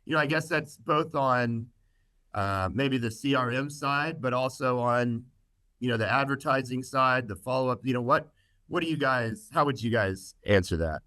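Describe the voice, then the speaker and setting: A man's voice: mid-range voice, normal speed, pushed and bright, no room sound. A man hosting a podcast casually, joking with his guests and using lots of fillers. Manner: joking, filler-heavy, upbeat. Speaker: podcast host.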